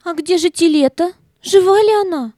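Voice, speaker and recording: A woman's voice: very high-pitched, normal speed, warm tone, no echo, clean studio sound. A woman playing an anxious, tender-hearted little girl with an earnest, childlike manner in a children's audio play. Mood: worried.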